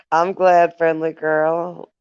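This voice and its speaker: A woman's voice: lowish voice, darkish tone, clean tone, no room sound, muffled recording. A woman hosting a casual live stream, reading viewer comments aloud, laughing often and speaking fondly to her viewers. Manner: giggly, laughs often between remarks; affectionate toward viewers.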